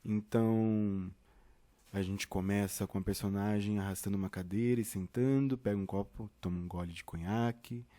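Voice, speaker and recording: A man's voice: lowish voice, warm tone. A Brazilian man giving an informal tutorial, talking straight to the viewer in a theatrical, conversational way. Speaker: theatre teacher and podcast host.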